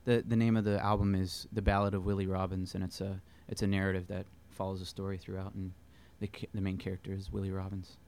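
A man from Pennsylvania, a musician guest, answering interview questions in a relaxed, halting way. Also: deep voice, slight background noise. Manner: relaxed, halting, many fillers.

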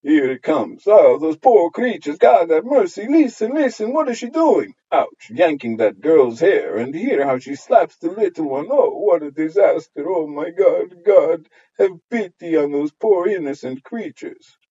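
A man voicing a shrill, fussing older neighborhood woman who gossips loudly. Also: sing-song, measured pace, slightly rough, exaggerated voice. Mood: shrill alarm. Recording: dull lo-fi audio.

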